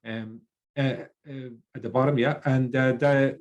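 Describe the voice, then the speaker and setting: A man's voice: medium pitch, fairly even intonation, dull audio. Middle-aged guy from lebanon kicking off a zoom panel from home, lots of uhs and restarts, ordinary mid voice. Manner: halting, many fillers and restarts. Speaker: program manager hosting a webinar. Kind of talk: hesitant opening remarks on a video call. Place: a child's bedroom at home. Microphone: video call.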